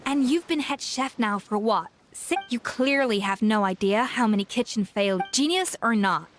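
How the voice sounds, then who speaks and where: A woman's voice: on the high side, expressive, a little slow. A woman playing a proud, exacting young master chef in a video game, speaking in a blunt, commanding, haughty way. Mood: stern, frank. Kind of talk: English video game voice acting. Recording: loud game music behind voice.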